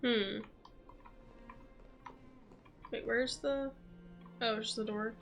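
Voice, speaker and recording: A woman's voice: on the high side, steady tone, a little slow, fairly bright tone, faint music behind. A young woman chatting casually and in a rambling way while she streams a video game, often giggly. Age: young adult.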